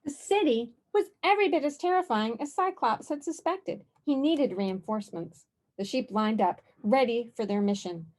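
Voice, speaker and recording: A woman's voice: on the high side, expressive, moderate pace, darkish tone. A woman narrating a children's picture book aloud as its author.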